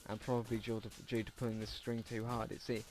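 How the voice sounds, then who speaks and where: A man's voice: mid-range voice, monotone, fast talker, darkish tone. Man reading a game aloud over music. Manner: informal, stumbles over words while reading. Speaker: let's player reading a visual novel aloud. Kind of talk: casual read-aloud game narration with asides. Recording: music behind the voice.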